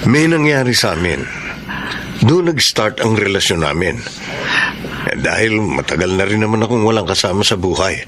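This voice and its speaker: Emotional father, swinging pitch. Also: very animated, normal speed, long pauses, noisy background. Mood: earnest. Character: emotional, trusting single father, betrayed by his lover. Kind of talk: melodramatic radio drama acting.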